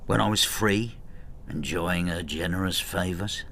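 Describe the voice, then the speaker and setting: A man's voice: low voice, measured pace, fairly bright tone, slight background noise. An actor playing an embittered, spiteful old husband who narrates his marital war in a brooding, intimate radio drama style. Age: older.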